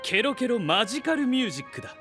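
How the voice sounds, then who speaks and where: A man's voice: extremely high pitch, sing-song, fast talker, over music. A man playing a confident, theatrical young scientist in an anime-style voice drama, speaking in grand, self-assured declarations. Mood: proud, showy.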